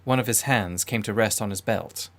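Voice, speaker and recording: Low-pitched man narrating a dark story. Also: moderate pace. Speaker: audiobook narrator. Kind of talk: dramatic scripted first-person narration.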